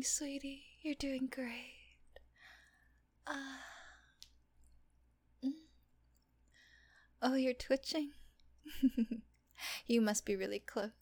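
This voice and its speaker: A woman voicing a teasing, doting female nurse in an intimate audio roleplay, talking softly and often in a whisper. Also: fairly high pitch, slightly rough, heavily acted.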